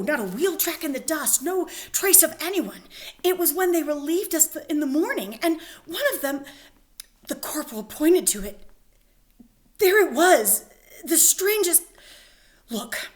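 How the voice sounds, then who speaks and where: A woman doing a jittery stammering soldier. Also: high voice. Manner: nervous, stammering, rushed. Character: jittery rambling male soldier, frightened. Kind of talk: dramatic reading of a play.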